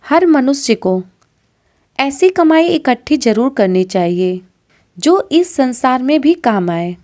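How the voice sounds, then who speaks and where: A woman's voice: high-pitched, very animated, slow pace, darkish tone. A woman narrating a scripted moral story as a storyteller, in a didactic manner. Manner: didactic, addressing listeners as friends.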